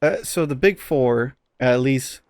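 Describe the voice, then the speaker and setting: Guy cohosting a podcast, just rambling casually thru stories, medium pitch, no room echo. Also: expressive, slow pace, a little mellow, clean studio sound. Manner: rambling, informal, profane at times. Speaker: podcast co-host. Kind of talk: casual podcast chat, telling anecdotes.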